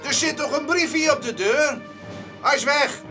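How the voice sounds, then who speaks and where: An actor playing an irritable, brusque bystander at a closed shop in a radio drama, with indignant delivery. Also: male voice, very high voice, measured pace, music in the background.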